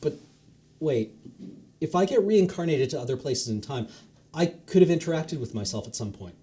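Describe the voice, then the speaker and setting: A man's voice: a little high, expressive, normal speed, mellow, voice pushed up, natural voice. A man reading the lines of a confused, newly dead man in his late forties, asking hesitant questions. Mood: bewildered.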